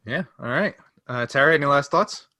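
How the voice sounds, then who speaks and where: A man hosts a relaxed podcast in a bright, crisp-edged voice. He explains in a loose, hedging way, and the sound is dry, with hardly any room on it. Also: fairly high pitch, normal speed, no echo. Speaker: podcast host. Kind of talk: casual podcast discussion.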